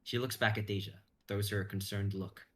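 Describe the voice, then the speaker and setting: Young adult man, low register, suspenseful dramatic narrator for an action fantasy. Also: fairly even intonation, fairly quick, a bit bright. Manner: intense, suspenseful storytelling. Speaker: stream host and narrator.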